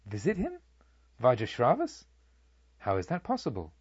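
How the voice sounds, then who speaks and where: A man's voice: mid-range voice, wildly swooping pitch, normal speed, husky, no echo, muffled recording. A man voicing a fierce but humbled robber who questions a monk with earnest, formal respect, as in a dramatised audiobook. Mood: astonished questioning. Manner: emphatic, pleading, formally respectful.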